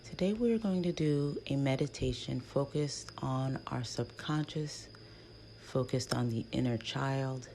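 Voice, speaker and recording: A woman leading a guided meditation in a hushed, soothing, breathy voice with long pauses between phrases. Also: extremely low pitch. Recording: faint background noise.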